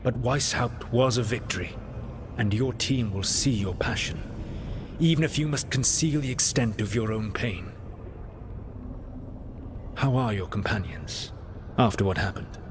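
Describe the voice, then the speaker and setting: An actor playing an ancient elven rebel leader in a video game, a grave and calculating mentor who speaks in measured, formal counsel. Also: male voice, medium pitch, background noise, close to an everyday voice. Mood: counselling, solemn. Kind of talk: dramatic video game dialogue.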